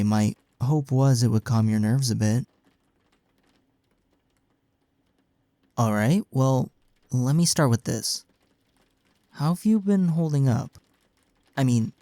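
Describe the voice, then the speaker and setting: A man's voice: mid-range voice, a little slow, warm tone, dry recording, natural voice. A young man's voice in an intimate audio roleplay, recorded very dry. He is acting as a caring college best friend speaks casually and earnestly, with a playful, teasing streak, as if to one listener. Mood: soft, concerned. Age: young adult.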